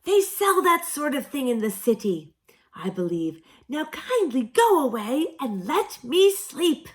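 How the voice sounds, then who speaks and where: A woman's voice: high-pitched, big pitch swings, measured pace, voice pushed up. Woman doing a scratchy, grumpy old owl. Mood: dismissive. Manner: cross, loud and curt. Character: grumpy sleepy old male owl, deaf.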